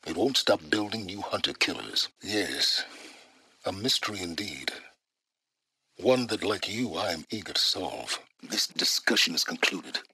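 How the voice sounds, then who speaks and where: An actor playing an aloof, secretive middle-aged scholar of ancient ruins in a video game, speaking in formal, measured and condescending phrases. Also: male voice, low voice, animated, sharp tone, husky, exaggerated voice, rough recording. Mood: measured, intrigued. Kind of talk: dramatic video game voice acting.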